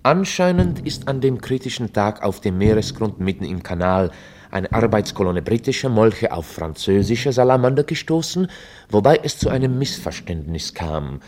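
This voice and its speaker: An actor playing a formal chronicler, narrating a scripted radio drama in a documentary manner. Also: male voice, on the low side, animated, normal speed, a little mellow, slight background noise, natural voice. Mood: measured, dry. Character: formal chronicler recounting a history.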